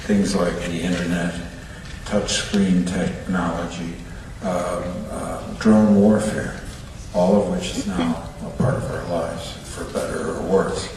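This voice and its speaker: A man answers reflectively and haltingly as a guest at a live event. His voice is deep and slow. It comes from far off in a noisy, echoing hall. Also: level tone. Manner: halting, thoughtful. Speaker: film panel guest. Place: large cinema auditorium, public Q&A.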